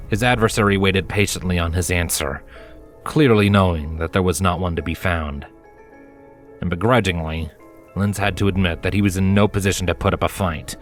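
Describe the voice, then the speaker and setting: Lower-pitched man narrating a fantasy story. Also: steady tone, normal speed. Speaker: fan fiction narrator. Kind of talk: dramatic scripted story narration. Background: background music.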